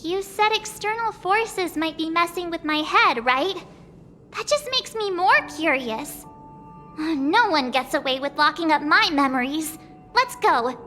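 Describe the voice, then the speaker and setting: A bubbly, curious teenage girl from an anime game, very high and bright. She is chatty and exclaims freely, swinging widely in pitch. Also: expressive, measured pace, faint music behind, exaggerated voice. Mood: curious, feisty. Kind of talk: anime-style game voice acting.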